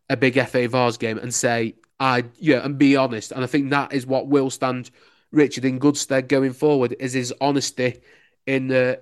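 Young Lancashire man, smooth chatty voice. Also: mid-range voice. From Lancashire, England. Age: young adult. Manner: chatty, enthusiastic, rambling with many "you know"s. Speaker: local radio sports reporter. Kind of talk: casual interview answers.

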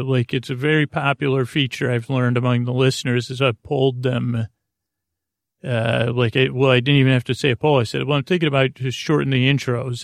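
A man with a gravelly, creaky voice talks in a flat, barely varying pitch, recorded close and dry. He hosts a bedtime podcast, rambling haltingly, stammering over words and wandering off on tangents. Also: no room sound. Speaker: podcast host.

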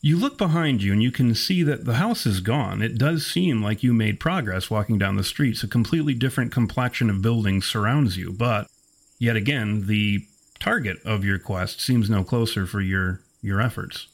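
A man acting as game master, narrating a spooky tabletop roleplaying game in ornate, deliberate wording. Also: fairly low pitch, lively intonation.

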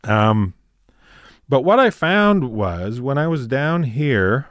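A middle-aged Canadian man with a prairie accent talks casually as a guest, rambling and doubling back on his sentences. His voice sits at an ordinary pitch. It is recorded close and dry. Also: big pitch swings, slow pace, dry recording. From Canada, rural Saskatchewan. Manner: rambling, self-correcting, laughs easily. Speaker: comedian guest. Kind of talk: casual interview chat.

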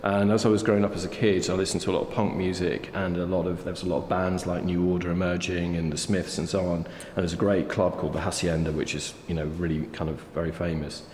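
A deep-voiced man in his fifties reflects on his life in an unhurried, hesitant way, full of little fillers. His voice rings through a roomy hall with a long tail of reverb, and faint ambience sits behind him. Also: little pitch movement, a little mellow, slight background noise. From England. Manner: thoughtful, hesitant, many fillers. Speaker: artist guest. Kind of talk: reflective interview conversation.

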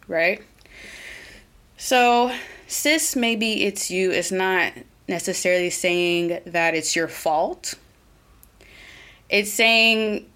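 A woman with an Oklahoma twang hosting her own solo podcast, talking earnestly and reflectively, pausing and restarting as she thinks aloud. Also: lowish voice, fairly bright tone. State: a little nervous. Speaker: podcast host.

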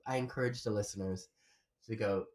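A man's voice: fairly low pitch, a little fast, darkish tone. A young man from Florida, a guest comedian in a casual chat, rambling and swearing freely with lots of fillers. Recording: roomy echo.

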